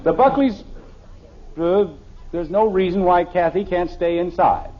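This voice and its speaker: A man's voice: high-pitched, measured pace. An actor playing a blustery, easily exasperated middle-aged father with an American accent in a 1950s radio sitcom. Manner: indignant, emphatic, mock-patient. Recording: dull old radio broadcast recording.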